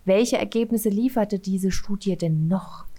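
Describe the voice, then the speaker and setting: A woman interviewer asking measured, structured questions about health. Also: medium pitch, warm tone. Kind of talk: radio-style interview.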